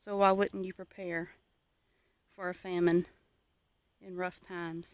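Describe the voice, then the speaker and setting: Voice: mid-range voice, little pitch movement, slow, clean tone, no room sound. A homesteading vlogger from the United States giving an informal, reflective talk to viewers in an earnest, plainspoken, advisory manner.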